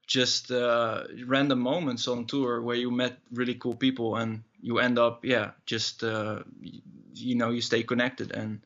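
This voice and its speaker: A man's voice: medium pitch, level tone, unhurried, fairly bright tone, dull audio. A man in his thirties with a Dutch accent, a musician answering interview questions casually, hesitant and full of fillers and restarts. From Netherlands. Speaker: musician guest.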